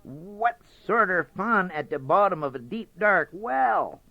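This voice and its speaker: A man's voice: high-pitched, very animated, slow, gravelly, dry recording, pitched above the performer's own voice, full character voice. A man voicing a greedy, gullible male fox who stammers eagerly in storybook dialect. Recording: dull dry lo-fi recording.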